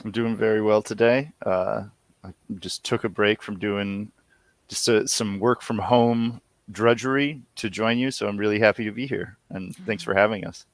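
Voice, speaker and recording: A man talks casually as a guest, a little hesitant and self-deprecating. His voice is on the low side and very smooth. The recording is dry and close. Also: steady tone, moderate pace, dry recording. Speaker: interview guest, audio series co-creator. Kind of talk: casual chat. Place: remote online call from home.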